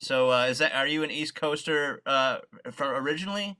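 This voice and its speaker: A man hosting an interview, speaking earnestly and haltingly with many restarts as he praises and questions his guest. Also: on the high side, sharp tone, clear, resonant tone. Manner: hesitant, full of fillers and restarts, earnest. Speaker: interviewer, facilitator.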